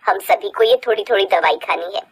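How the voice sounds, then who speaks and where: Stern older matriarch, played by a woman, lowered tone, measured delivery, admonishing, authoritative, fair-minded, cartoon family story. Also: breathy edge, lightly acted. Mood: stern, accusing.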